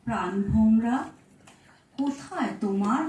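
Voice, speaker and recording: A woman reciting poetry in a measured way, phrase by phrase. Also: a little high, darkish tone. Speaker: recitation performer. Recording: echoey room, distant microphone.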